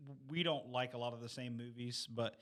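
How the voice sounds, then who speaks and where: A man's voice: medium pitch, steady tone, fairly quick, a bit bright. A man talking casually as a panelist in a group conversation. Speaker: podcast panelist. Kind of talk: casual chat.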